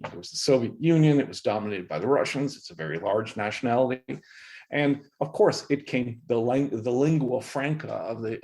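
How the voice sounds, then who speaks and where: A man's voice: medium pitch, a little mellow. Man, middle-aged, from Canada, professor guest, earnest, emphatic, restarts often. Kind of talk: interview answers.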